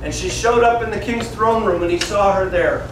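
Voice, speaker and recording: A man's voice: high-pitched, normal speed. A man in his fifties teaching children, telling a Bible story theatrically and acting out the dialogue in simple words. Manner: theatrical, acts out dialogue, plain simple wording. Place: small classroom indoors. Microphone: camera several metres away. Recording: roomy echo, distant camera mic, room noise.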